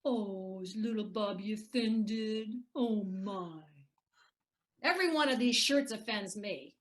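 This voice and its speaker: A woman's voice: medium pitch, slow, lightly acted. A woman playing a bitter, hard-drinking ex-wife in an audio play, taunting and sardonic. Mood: mocking.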